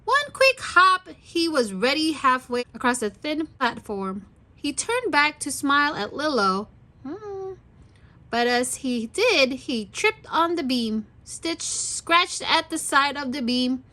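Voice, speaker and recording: A woman's voice: high-pitched, sing-song, slow, bright, forceful tone. A woman reading a story aloud expressively for young children. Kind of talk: children's read-aloud narration.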